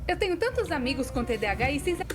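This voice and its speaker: A Brazilian woman voicing a chatty, self-deprecating female animator in an expressive, conversational scripted narration. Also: high-pitched, rapid-fire. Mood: chatty, candid. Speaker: voice actor in a fan dub. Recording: music behind the voice. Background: music.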